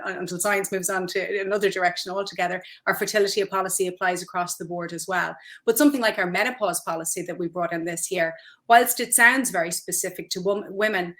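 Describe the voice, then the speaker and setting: A woman speaking as a people officer on a webinar panel, measured and explanatory, with frequent ums. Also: medium pitch, a bit bright.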